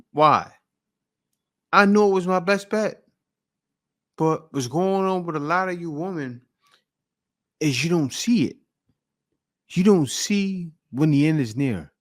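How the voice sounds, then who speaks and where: A man's voice: on the high side, animated, measured pace, pauses often. A man hosting a reaction video, who speaks in a blunt, lecturing and emphatic way. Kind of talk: opinionated reaction commentary.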